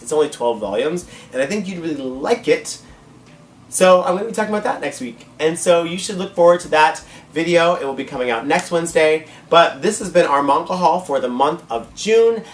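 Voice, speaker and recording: A hoarse man hosting a casual vlog, gushing and repeating words for emphasis as he talks to viewers. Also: fairly high pitch, a bit bright, a little hiss or hum. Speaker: host. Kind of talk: casual manga haul vlog. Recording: echoey room, mic at a distance.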